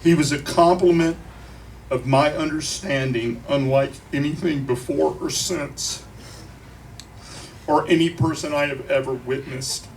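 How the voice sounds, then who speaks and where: Grieving man giving a eulogy, distant and noisy. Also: a little high. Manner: deliberate, with profanity and wry humor. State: grieving, emotional. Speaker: eulogist at a memorial. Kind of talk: emotional eulogy to a crowd. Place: crowded bar at a memorial. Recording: noisy live room, distant mic.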